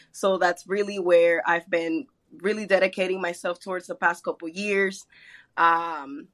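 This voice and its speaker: A woman's voice: lowish voice, a bit bright. A young woman from Mexico, a guest artist chatting casually, giggling and rambling as she talks. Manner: giggly, rambling, laughs often. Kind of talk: casual chat.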